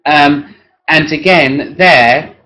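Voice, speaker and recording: A hoarse man who is losing his voice talks through an assessment plan one-to-one as a work-based assessor. He clears his throat often and speaks haltingly, with frequent tag questions. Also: a little high, pushed and bright. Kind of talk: one-to-one assessment planning talk. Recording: dull lo-fi recording.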